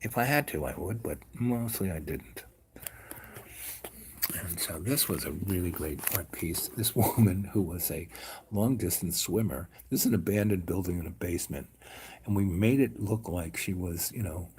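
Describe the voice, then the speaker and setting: Rambling seventy-year-old man, low voice. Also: normal speed, a little hiss or hum. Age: 70s. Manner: rambling, trails off quietly at ends of phrases. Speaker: local man in conversation. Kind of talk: casual chat.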